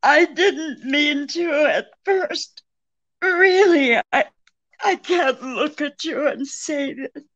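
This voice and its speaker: An actress playing a pleading, deceitful femme fatale in old-time radio drama style, halting and imploring. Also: female voice, sits high, fairly bright tone, muffled recording. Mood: desperate pleading.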